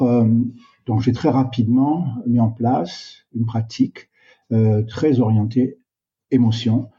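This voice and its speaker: An older man, a retired doctor, answering interview questions in a slow, reflective way with many hesitations. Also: mid-range voice, mellow. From Canada. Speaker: guest, doctor. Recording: dull, lo-fi sound.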